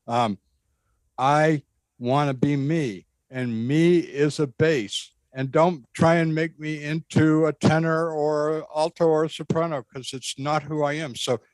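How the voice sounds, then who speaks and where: An earnest man with a bass voice telling his story to an interviewer. He is emphatic and repeats phrases as he goes. The recording is dry, with no room sound. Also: fairly even intonation, slow, smooth, no echo. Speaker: guest describing a spiritual experience. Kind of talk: conversational storytelling.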